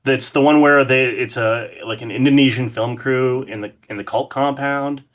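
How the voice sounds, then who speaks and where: A man's voice: mid-range voice, steady tone, moderate pace. A man chatting casually as a podcast guest, enthusiastic and loose with swearing. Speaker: podcast guest, horror artist. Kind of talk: casual interview chat about horror films.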